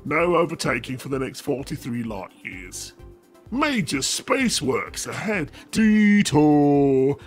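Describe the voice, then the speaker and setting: A man reads comic verse theatrically. His delivery is slow and declaiming, with very animated pitch. Faint music sits behind him. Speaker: story reader. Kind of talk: dramatic comic reading of a mock-epic.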